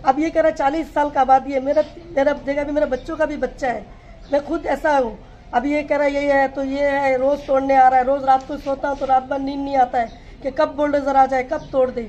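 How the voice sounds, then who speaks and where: A woman's voice: sits high, level tone, fairly quick, warm tone, lo-fi sound, a little hiss or hum. A woman in her fifties, a local resident, interviewed in the street, complaining in a distressed, pleading way. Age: 50s. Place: street outdoors.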